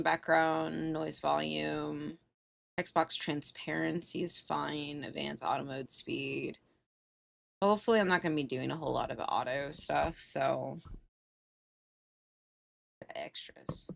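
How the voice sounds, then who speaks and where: Creaky-voiced woman streamer, unhurried. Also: sits low. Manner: sweary, informal. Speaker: livestreamer. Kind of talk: casual gaming livestream chat.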